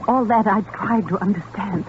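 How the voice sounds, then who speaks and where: An actress playing a distraught, earnest wife in a 1940s radio suspense drama, with an emphatic, melodramatic delivery. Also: female voice, mid-range voice, normal speed, lightly acted. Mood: anguished, accusing. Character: distraught, accusing wife of an obsessed scientist. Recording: tinny, noisy 1940s radio recording.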